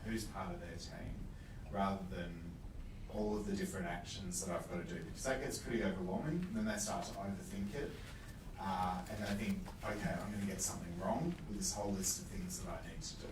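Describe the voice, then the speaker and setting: A man with a very low voice gives a measured, thoughtful answer on a panel, pausing on fillers. He sounds some way off the mic in an echoey room. Background noise runs under him. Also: far from the mic. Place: event room. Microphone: distant room mic.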